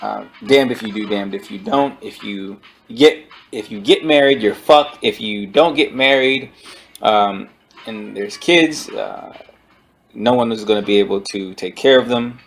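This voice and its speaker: A man giving an informal spoken book review, explaining his points in a halting way over background music. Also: lowish voice, a little hiss or hum.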